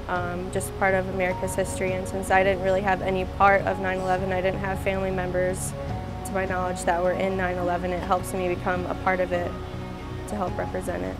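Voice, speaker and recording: A woman's voice: on the low side, monotone, brisk, music in the background. A young woman sailor from Illinois giving a matter-of-fact testimonial in an office. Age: young adult. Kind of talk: recorded testimonial. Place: office with a mural.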